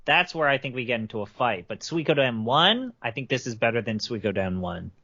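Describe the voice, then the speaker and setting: A man's voice: mid-range voice, sing-song, a little fast, bright, forceful tone, dry recording. A man co-hosting a casual podcast chat, emphatic and blunt, swearing freely. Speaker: podcast co-host. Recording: dry, dull lo-fi podcast audio.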